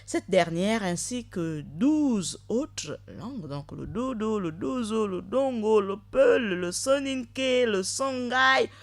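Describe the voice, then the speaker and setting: A woman hosting a live radio show, talking straight to listeners in a lively way with quick asides. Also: mid-range voice. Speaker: radio host.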